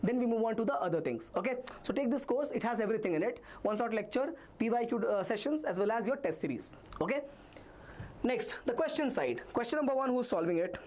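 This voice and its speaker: Chatty Indian maths teacher, live class. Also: male voice, very high voice, lively intonation, a little fast, darkish tone. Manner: chatty, reassuring, reads student comments aloud. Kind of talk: live online revision class.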